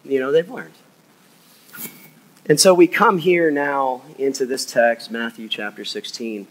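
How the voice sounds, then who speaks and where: A man, an assistant pastor, preaching a sermon in his church, his voice a little hoarse from a cold. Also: mid-range voice, unhurried, low-quality recording. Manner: earnest, drops to a hushed, soft voice in prayer. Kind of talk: sermon and prayer. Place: church sanctuary.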